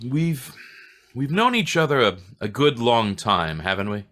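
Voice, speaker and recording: A male actor's voice plays a friendly homicide cop in a 1940s radio play. It is forceful and bright with wide swings of pitch, yet the phrasing is hesitant and slightly awkward. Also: sing-song. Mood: shy, halting. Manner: hesitant, halting. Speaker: actor in an old-time radio detective play.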